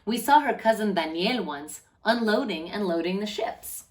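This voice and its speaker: A woman with a medium-pitched voice reads a picture book aloud to children. Her delivery is expressive and deliberate, lingering on key words as a storyteller does. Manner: animated, deliberate phrasing with drawn-out words.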